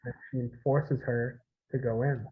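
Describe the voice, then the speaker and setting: A man's voice: medium pitch, little pitch movement, unhurried. Man on a tinny phone-like line. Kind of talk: casual film discussion.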